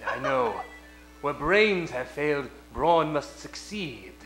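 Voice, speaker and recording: A man's voice: on the high side, lively intonation, takes it slowly. A man acting a scheming, lovelorn foreign villain in a comedic radio play, with a pompous, theatrical manner and a foreign accent. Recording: roomy live stage sound, faint music.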